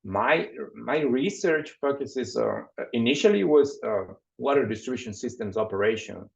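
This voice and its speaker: Casting note: male academic from Ecuador, gravelly and low, halting with lots of repeated words, on muffled video call audio. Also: lively intonation. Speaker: panelist, assistant professor. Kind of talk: webinar self-introduction.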